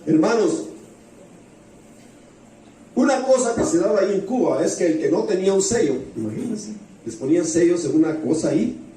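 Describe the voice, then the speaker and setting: A man preaches in a storytelling sermon style, his voice fairly high and somewhat mellow. He repeats phrases for emphasis and turns to the congregation with questions. The sound is heavily echoing, as in a big hall. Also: animated, normal speed, long pauses. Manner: often addresses the congregation with rhetorical questions. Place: church hall with heavy echo. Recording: very echoey hall, distant mic, faint noise.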